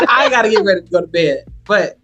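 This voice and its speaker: Casting: late-30s woman, podcast host on a video call, animated and laughing, faint music under. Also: mid-range voice, fairly quick. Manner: laughing, easygoing questioner. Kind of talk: casual interview chat.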